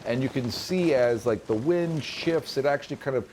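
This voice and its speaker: A man's voice: medium pitch, moderate pace, a little mellow, a little hiss or hum, rough recording. A man acting as game master, narrating a tabletop fantasy game in an explanatory, conversational way.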